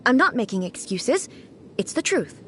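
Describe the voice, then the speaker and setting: A woman's voice: on the high side, very animated, normal speed, noisy background. A woman playing a dignified, aloof ruler deity in an anime video game, speaking formally and with composure. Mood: defensive.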